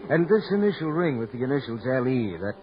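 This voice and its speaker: An actor playing a boastful, showboating harbor police officer who bellows at crowds, in a 1940s radio drama. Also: male voice, mid-range voice, very animated, fairly quick, lightly acted. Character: showboating, loudmouthed male harbor cop. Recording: lo-fi old radio broadcast with crowd and noise.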